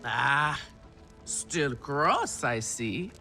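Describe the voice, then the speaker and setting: A man's voice: mid-range voice, sing-song, drawn-out words, gravelly, pushed and bright, full character voice. A man playing a flamboyant, doting alien clan elder who loves silk, in theatrical game cutscene style with music behind him. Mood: playfully disdainful. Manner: theatrical, effusive. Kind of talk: video game cutscene acting.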